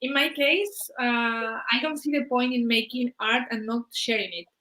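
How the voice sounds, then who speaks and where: Bright-voiced woman co-host. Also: on the high side, poor audio. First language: Spanish. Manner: relaxed, laughs easily, translates in quick summaries. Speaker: co-host and interpreter. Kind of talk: casual live chat, switching to translate.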